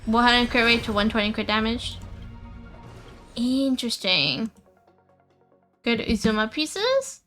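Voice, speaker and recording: A woman's voice: a little high, big pitch swings, slow pace, long pauses, pushed and bright. A woman hosting a gaming livestream, thinking aloud casually and chatting informally with her viewers, often repeating herself. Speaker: livestream host. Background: music.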